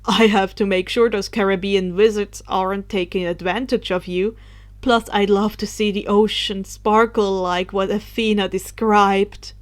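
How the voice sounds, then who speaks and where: A woman narrator plays a teenage boy turned into a dark spirit in an audiobook story. He sounds awkward and wry, with a huffy edge, and sits lower than the reader's own voice. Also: fairly even intonation, unhurried, lightly acted. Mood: fond, earnest. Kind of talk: audiobook character voice.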